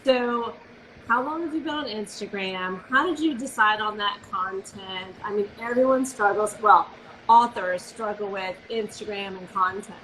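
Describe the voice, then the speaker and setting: A woman's voice: mid-range voice, moderate pace, fairly bright tone, slight background noise, poor audio. A woman hosting a casual live video interview, talking with gushing enthusiasm. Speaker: host.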